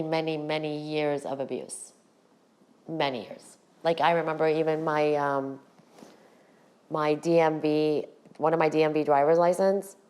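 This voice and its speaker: A middle-aged woman with a raspy voice, hosting her own podcast and talking frankly to her viewers about her past. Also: deep voice, darkish tone. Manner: candid, direct address to viewers. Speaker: podcast host. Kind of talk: personal storytelling to camera.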